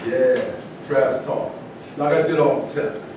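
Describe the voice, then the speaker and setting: A man's voice: steady tone, slow pace, close to an everyday voice. A man playing a proud, defiant character in a stage play reading, with a blunt, challenging delivery. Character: proud, defiant man who bows to nobody. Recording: distant mic, heavy echo, noisy rumble.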